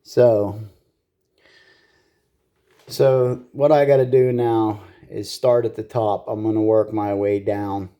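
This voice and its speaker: A man's voice: on the low side, steady tone, slow, long pauses, warm tone, highs cut off. A man giving casual, hands-on repair commentary in a blunt, salty way, swearing freely as he goes. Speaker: repair video host.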